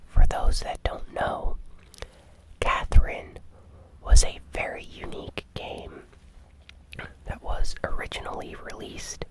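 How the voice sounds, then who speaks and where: A host presenting an ASMR gaming news recap in a hushed, breathy whisper, explaining things informally and without hurry.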